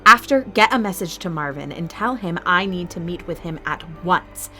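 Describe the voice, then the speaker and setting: Low-voiced, commanding woman in character over music. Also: lively intonation, moderate pace, sharp tone. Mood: cold, commanding. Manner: formal, clipped, authoritative. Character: commanding, calculating female fight-arena master, suspicious and ruthless. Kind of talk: audio drama acting. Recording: music bed under the voice.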